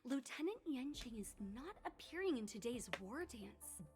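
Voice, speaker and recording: High, animated young reporter. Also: female voice, moderate pace. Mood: eager, inquisitive. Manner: polite, inquisitive, animated. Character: eager young female event reporter interviewing contestants. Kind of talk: scripted video game voice acting. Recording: game music behind.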